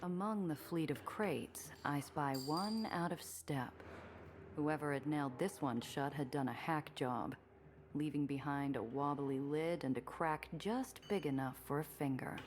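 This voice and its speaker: A woman's voice: low-pitched, a little slow, noisy background. An actress playing a tough, world-weary smuggler woman in a 1930s noir game, wry and clipped. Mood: cool, watchful.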